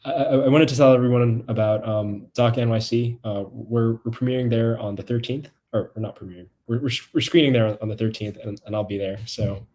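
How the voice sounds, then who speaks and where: A young man chatting casually on a video call panel, halting and often repeating his words. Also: medium pitch, fairly even intonation, dark tone. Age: young adult. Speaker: documentary film subject on a Q&A panel. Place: small room at home, video call.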